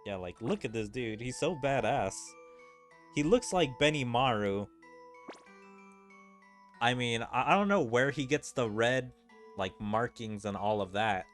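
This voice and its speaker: A man's voice: medium pitch, expressive, a little slow, long pauses, fairly bright tone. A man giving casual video game commentary as a streamer. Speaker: gaming streamer. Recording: game music behind the voice. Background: video game music.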